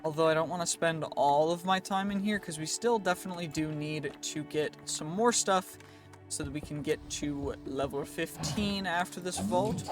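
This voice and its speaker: A man with a sniffly, stuffy nose giving casual, chatty gameplay commentary, often reassuring himself and playfully pleading for loot. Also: fairly high pitch. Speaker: gaming youtuber. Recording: clear music bed behind. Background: game music.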